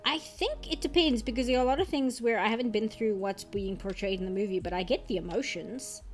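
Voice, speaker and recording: A woman's voice: fairly high pitch, brisk. Chatty South African woman artist streamer. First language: Afrikaans. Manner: chatty and rambling, muttering to herself as she works. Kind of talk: casual chat while drawing on stream. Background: faint background music.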